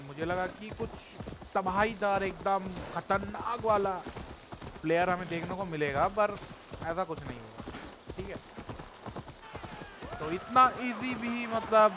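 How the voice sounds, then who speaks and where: Fast-talking, high-voiced Indian man gaming. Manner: informal, chatty. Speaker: gamer, walkthrough host. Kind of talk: casual game commentary. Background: game music and sounds.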